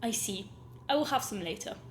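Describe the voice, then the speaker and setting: A woman's voice: mid-range voice, expressive, fairly quick, slight background noise, lightly acted. A young actress playing a grand, whimsical, wilful young woman in a surreal radio play, with airy theatrical delivery. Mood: wistful. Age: young adult.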